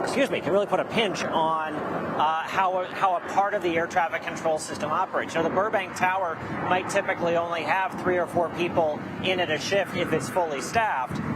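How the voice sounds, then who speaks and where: A man's voice: a little high, very noisy. A man working as a news correspondent, explaining things conversationally in a live report from an airport.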